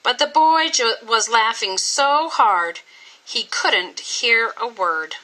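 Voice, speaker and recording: A woman reading a children's story aloud in an expressive, scripted style with dramatic, deliberate phrasing. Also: a little high, rough recording. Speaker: storybook reader.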